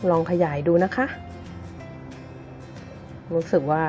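A woman's voice: low-pitched, fairly even intonation, slow, mellow. A woman giving a casual step-by-step craft tutorial, talking to viewers informally like a big sister. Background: background music.

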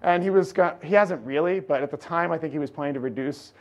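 A middle-aged man giving a conference talk from slides in a large lecture hall, projecting loudly and energetically. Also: fairly high pitch, fast talker, a little hiss or hum. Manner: energetic, loud, projecting without amplification. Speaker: conference speaker. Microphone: room recording mic, no lapel.

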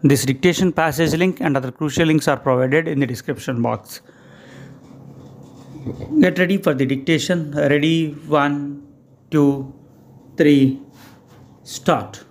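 A man with an Indian English accent, a shorthand instructor reading a news passage aloud in short, measured phrases for dictation practice. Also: on the high side.

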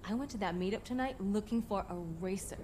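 An actress playing a cheeky, self-assured young wheeler-dealer, speaking in wry, teasing, slangy banter for a video game. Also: female voice, mid-range voice, fast talker, darkish tone, slight background noise, close to an everyday voice. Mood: persuasive, breezy.

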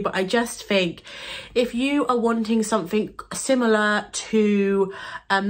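A young British woman with a stuffy, nasal voice from a cold, chatting bubbly and gushing about beauty products to camera from her bedroom. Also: mid-range voice. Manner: bubbly, gushing, laughs often. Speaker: beauty and fashion YouTuber. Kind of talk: chatty product-review vlog. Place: bedroom, seated on a sofa, indoors.

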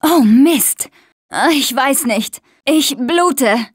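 A woman's voice: high-pitched, expressive, extremely slow, no echo, studio quality, full character voice. An actress playing a tough, sarcastic young woman surviving a zombie apocalypse in a dubbed video game. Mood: hurt, worn down. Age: young adult.

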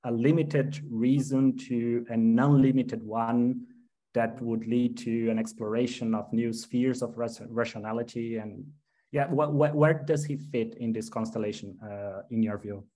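A man's voice: mid-range voice, steady tone, unhurried, warm tone. Polite young man asking a seminar question. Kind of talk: academic question over video call. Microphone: laptop webcam video call.

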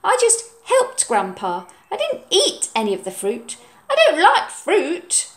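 A woman's voice: very high voice, huge pitch swings, measured pace, a bit bright, pitched above the performer's own voice, exaggerated voice. A woman voicing a stubborn, sulky young boy in a storybook, drawing out words emphatically. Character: stubborn young boy proud of his grandpa.

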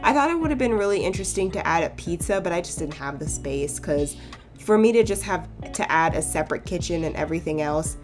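A young woman chatting casually over her game build, her voice a bit hoarse and cracking, rambling into playful asides. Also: fairly low pitch, expressive, a little fast. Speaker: sims builder YouTuber. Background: soft background music.

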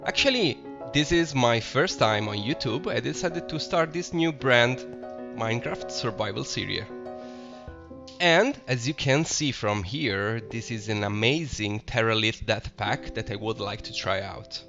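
Italian-accented guy narrating his gameplay, gravelly voice, chatty and excitable, slightly muffled audio with background music. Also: medium pitch, a little slow. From Italy. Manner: chatty, self-correcting, enthusiastic about the game. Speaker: Minecraft let's play host. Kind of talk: casual gameplay commentary.

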